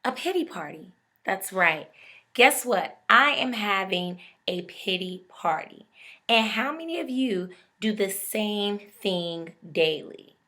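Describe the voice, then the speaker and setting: A woman's voice: mid-range voice, very animated, slow, bright, forceful tone. A young woman giving an emphatic, preachy motivational talk straight to her viewers. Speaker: motivational speaker.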